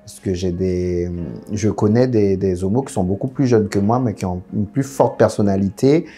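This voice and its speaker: Low, dark-voiced young man from French Guiana. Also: moderate pace, slightly rough, soft background music. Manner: relaxed, frank storytelling with many fillers. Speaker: guest. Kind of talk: candid casual interview chat.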